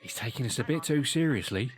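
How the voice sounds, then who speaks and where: An actor playing a chatty older relative watching television at home in an audio drama. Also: male voice, medium pitch, expressive, quick pace, faint music behind, lightly acted. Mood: sceptical.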